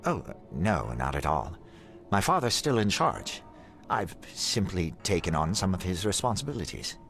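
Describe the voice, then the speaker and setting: An actor playing a courteous, benevolent heir of an influential family in a video game, speaking formally and with measured politeness. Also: male voice, sits low, very animated, creaky, fairly bright tone, soft background music, full character voice. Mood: composed, guarded. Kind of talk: video game cutscene dialogue.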